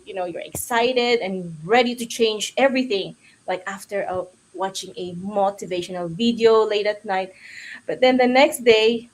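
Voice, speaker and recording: A woman with a Filipino accent speaking as a guest on a livestream, giving an informal motivational talk in a chatty, self-deprecating way with questions to listeners. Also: medium pitch. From Philippines.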